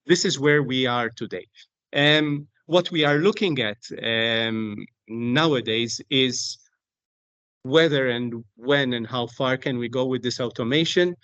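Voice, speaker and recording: A man speaking as an expert guest in an explanatory interview, deliberate and halting, often repeating words as he searches for phrasing. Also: mid-range voice, fairly bright tone, no room sound, muffled recording. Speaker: aviation technology company expert, interview guest. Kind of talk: explanatory interview talk on a technical subject.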